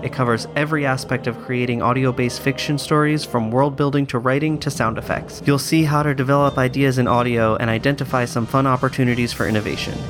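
A male podcast host delivers a scripted sponsor read and announcements. His voice sits at a middling pitch, with music playing behind it.

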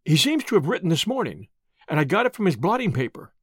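A man's voice: high-pitched, very animated, fast, a bit bright, slightly rough, no room sound, studio quality, heavily acted. A man voicing an anxious, deferential secretary in an audiobook story, earnest, apologetic and urgent. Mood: earnest confiding.

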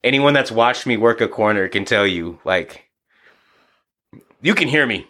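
Bright-voiced, profane man in casual chat. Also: medium pitch, expressive, measured pace. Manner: casual, profane, storytelling with acted asides. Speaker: podcast guest, boxing coach and former DJ.

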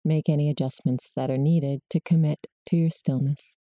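Very mellow, low-voiced woman guiding meditation. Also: steady tone, no room sound. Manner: slow, measured, with long pauses between short prompts. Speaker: meditation guide. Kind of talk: guided body scan meditation.

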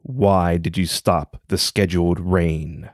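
A man's voice: sits low, steady tone, slow, no room sound, studio quality, pitched below the performer's own voice. A man voicing a stern, commanding male heavenly emperor who speaks coldly and with authority. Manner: cold and commanding.

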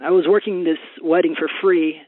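A man's voice: on the high side, fairly even intonation, fairly quick, no room sound. A man telling his love story in a casual, hesitant way, a little nervous, with many ums. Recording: tinny phone-like audio with faint music.

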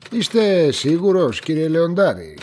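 An actor playing an insistent police interrogator in a radio play, formal and pressing. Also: male voice, fairly high pitch, big pitch swings, darkish tone, a little hiss or hum.

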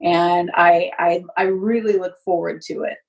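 A woman's voice: fairly low pitch, steady tone, unhurried, darkish tone, muffled recording. A woman speaking candidly and emphatically as a guest in a casual interview; she works as a sports announcer. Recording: voice distant from the mic.

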